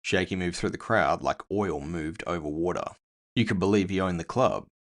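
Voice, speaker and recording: A man's voice: sits low, moderate pace. A man narrating scripted first-person fiction with a wry storytelling manner.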